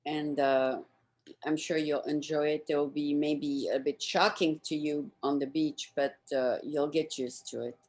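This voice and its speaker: A woman's voice: deep voice, steady tone, measured pace, a little mellow. A woman hosting a show, chatting casually with her guest in an informal interview. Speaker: show host. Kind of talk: casual interview chat, wrapping up.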